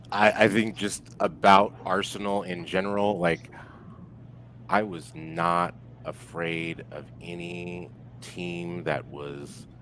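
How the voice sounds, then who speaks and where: A man giving football opinions on a casual podcast, in a halting way with repeated restarts. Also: low voice, background noise. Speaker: podcast guest, football fan. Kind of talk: casual sports podcast chat.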